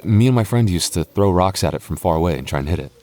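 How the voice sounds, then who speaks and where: A man performs a young boyfriend in an intimate audio roleplay. His voice sits low and soft. He stammers and hesitates as he talks, nervous yet openly affectionate toward his partner. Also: expressive, fast. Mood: flustered, nostalgic.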